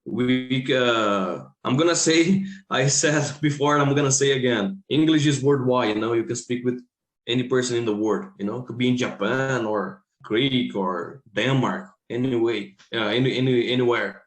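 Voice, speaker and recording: A man from Brazil with a Brazilian accent and Portuguese as his native language, talking informally as an English teacher in a halting, repetitive way. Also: medium pitch. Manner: halting, repeats phrases. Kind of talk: informal interview discussion. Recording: roomy, echoing sound.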